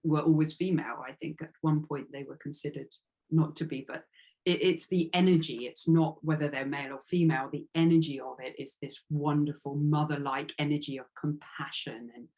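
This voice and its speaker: A woman's voice: sits low, fairly even intonation, fairly quick, darkish tone, poor audio. A woman giving a reflective interview as an author, speaking earnestly and tentatively and circling around her phrases.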